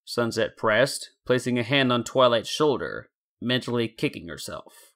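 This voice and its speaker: A man's voice: mid-range voice, lively intonation, measured pace, a bit bright. A man narrating fan fiction as a scripted story.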